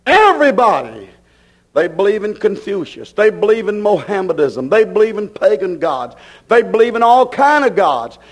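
A male preacher delivers a fervent sermon in a very high-pitched voice. His pitch moves widely as he declares each point emphatically. The delivery is slow and deliberate, with phrases repeated for weight.

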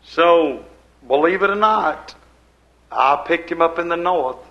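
An older man preaches to his congregation in a folksy, meandering way, circling back to the same phrases again and again. His voice is gravelly, sitting a touch higher than most men's. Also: takes it slowly, muffled recording. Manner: folksy, rambling preaching with repetition. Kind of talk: sermon. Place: church service before a congregation.

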